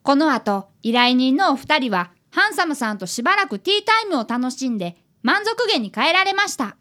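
Woman as very high, eager little boy. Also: big pitch swings, moderate pace, sharp tone, a little hiss or hum, exaggerated voice. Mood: earnest. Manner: earnest, inquisitive, polite. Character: eager, polite fifth-grade boy, apprentice detective. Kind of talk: radio comedy drama acting.

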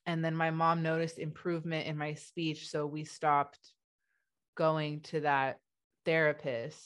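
A woman's voice: low voice, level tone, a little slow. A woman whose first language is Hebrew, a guest on an interview podcast, speaking with a stutter, with repeated sounds and restarts, from her home office over a video call. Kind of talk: interview conversation.

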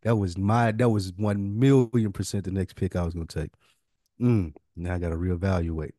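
A man's voice: sits low, steady tone, moderate pace, warm tone, no room sound, studio quality. A man co-hosting a podcast in casual banter, talking in a slangy, profane way. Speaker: podcast co-host. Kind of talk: casual fantasy-draft banter.

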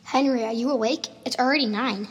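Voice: mid-range voice, a little fast, lightly acted. A performer playing an earnest, insistent schoolboy in an amateur radio drama. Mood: nervous, urgent. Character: Timmy, earnest schoolboy who insists on what he saw. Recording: home recording with clear noise and clicks.

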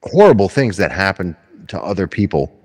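A man's voice: sits low, big pitch swings, brisk, slightly rough, long reverb tail. A man who is a musician, a guest on a podcast, talking reflectively and often repeating his words as he starts. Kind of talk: casual conversation.

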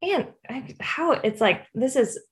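A woman's voice: sits high, expressive, a little slow. A woman co-hosting a faith podcast, chatting casually and expressively with short interjections and asides. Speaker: podcast co-host.

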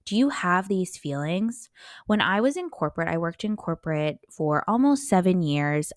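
A woman's voice: on the low side, no room sound, studio quality. A young adult American woman hosting a solo podcast, talking informally in a chatty, enthusiastic and emphatic way. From United States. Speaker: podcast host and life coach.

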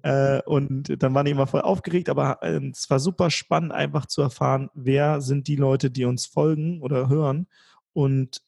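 A man hosting a podcast, asking questions in a relaxed, conversational way with fillers. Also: mid-range voice, moderate pace, creaky, husky. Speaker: podcast host. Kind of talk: casual podcast interview.

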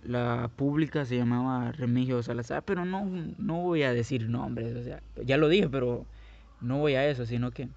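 A man's voice: medium pitch, normal speed, vocal fry, a little mellow, muffled recording. A young Guatemalan man telling his life story in a casual, rambling way, with many restarts. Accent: Guatemalan Spanish. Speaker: podcast host.

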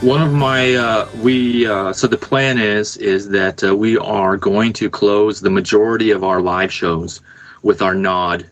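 A man's voice: on the low side, steady tone, measured pace. A man who plays in a rock band, chatting casually about music as a podcast guest.

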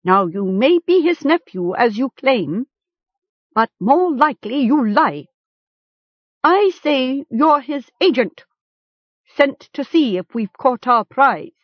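Woman playing a mocking, accusing chieftain, pitched up. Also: very animated, slow pace, breathy edge, dry recording, muffled recording, full character voice. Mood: accusing triumph. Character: Jofor, mocking, accusing male chieftain of captors. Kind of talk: storybook character acting.

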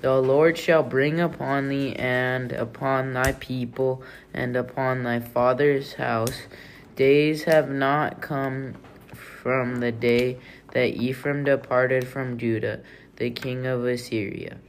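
Slow-paced man reading scripture aloud. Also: mid-range voice, little pitch movement. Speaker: family member reading scripture. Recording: faint background noise.

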